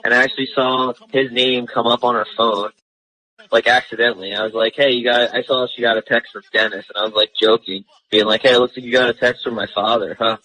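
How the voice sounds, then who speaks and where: A man's voice: mid-range voice, level tone, fast, sharp tone, rough recording. A young man calling a radio show, telling a personal story in a rambling, slangy, casual way.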